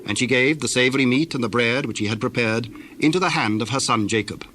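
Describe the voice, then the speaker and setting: A man narrating scripture from a script in a measured, formal way. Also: medium pitch, sharp tone. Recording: faintly noisy old recording.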